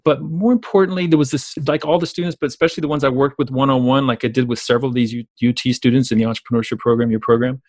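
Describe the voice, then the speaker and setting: A man with a Southern US drawl talks at a rapid clip, piling up emphatic, repeated points in long run-on stretches. He is a coach and educator speaking as a guest over a remote call, and the sound is close and dry with no room echo. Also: mid-range voice, fairly even intonation, darkish tone. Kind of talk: conversational interview.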